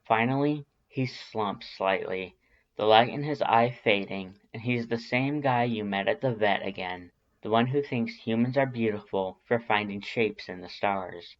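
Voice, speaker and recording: Low-voiced man narrating a story. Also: unhurried, highs cut off. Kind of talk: dramatic scripted story narration.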